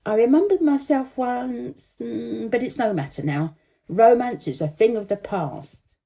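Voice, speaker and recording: A woman's voice: a little high, animated, measured pace, creaky, mellow, voice pushed up. A woman voicing a sentimental, melancholy female firework who laments in a pensive way for a children's storybook. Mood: wistful, mournful. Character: sentimental, melancholy female Catherine wheel firework, proud of heartbreak.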